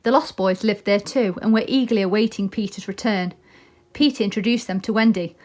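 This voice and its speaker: A woman's voice: mid-range voice, a little fast, a little mellow. A woman narrating a children's storybook expressively. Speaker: storybook reader.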